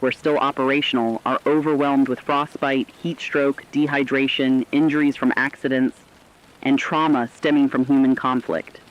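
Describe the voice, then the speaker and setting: A man's voice: medium pitch, natural voice. A man playing a somber radio co-anchor in a disaster drama, reading formal, earnest reports with emphatic lists, on slightly dull audio. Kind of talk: scripted radio news drama narration.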